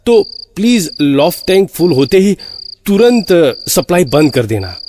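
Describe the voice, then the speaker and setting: An actor playing an anxious, exasperated man who tells his eerie story in a horror audio drama, halting and repeating words for suspense. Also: on the high side, a little mellow, a little hiss or hum. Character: anxious, exasperated male flat owner recounting eerie events. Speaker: narrator and lead of a horror story.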